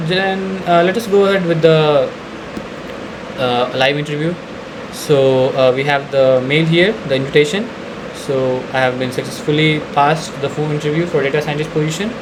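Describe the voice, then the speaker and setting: A man with a slightly high voice walks through software on a screen share. He speaks hesitantly and unscripted, pausing on filler sounds. A clear steady hum sits behind him in the recording. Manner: hesitant, halting delivery with frequent uhs. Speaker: software demo presenter.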